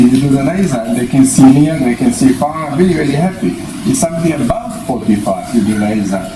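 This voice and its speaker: A man in his fifties giving an explanatory lecture in a deliberate, step-by-step way, presenting from a lectern. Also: on the high side. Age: 50s. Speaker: presenter, eye surgery specialist. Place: small indoor meeting room at a lectern. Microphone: distant camera microphone. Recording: echoey, noisy room, distant microphone.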